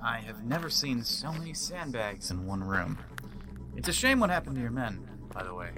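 A man playing a steady, duty-bound military detective and father in an audio drama, plain-spoken and measured. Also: mid-range voice, close to an everyday voice, low-quality recording. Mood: sympathetic. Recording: loud music under the voice.

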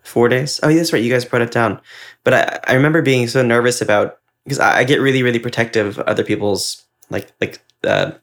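A man's voice: mid-range voice, a little fast, creaky, a bit bright. A man co-hosting a podcast, talking in a casual, reflective way with effusive, wordy and earnest delivery. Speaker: podcast co-host and ad maker.

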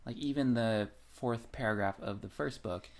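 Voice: medium pitch, normal speed. A podcast co-host discussing books casually, in an informal and agreeable manner.

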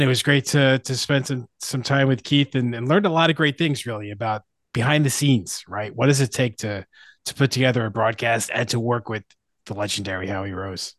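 A man's voice: mid-range voice, a bit bright, no room sound, clean studio sound. A man hosting a sports podcast in a casual, conversational way. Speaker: podcast host.

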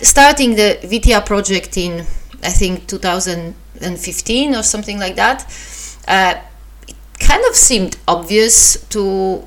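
Slow Polish-accented woman hosting a podcast. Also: mid-range voice. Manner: hesitant, many fillers and restarts, self-deprecating. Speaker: podcast host. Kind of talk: casual solo podcast talk.

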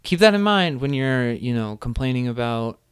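Smooth-voiced man hosting a podcast. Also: mid-range voice, animated, no echo, studio quality. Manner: rambling questions, quick affirmations. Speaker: podcast host. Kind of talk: casual interview chat.

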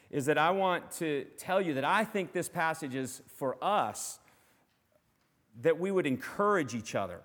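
A man preaching a sermon as pastor. He speaks earnestly and encouragingly, with a loud voice and many fillers and restarts. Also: medium pitch. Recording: long hall reverb.

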